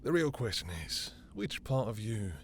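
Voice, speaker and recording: A man playing a possessive, domineering vampire master in an intimate audio roleplay, with slow, teasing, silky delivery and a breathy, gravelly murmur. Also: mid-range voice, expressive, a little hiss or hum, natural voice. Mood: flirtatious, courteous.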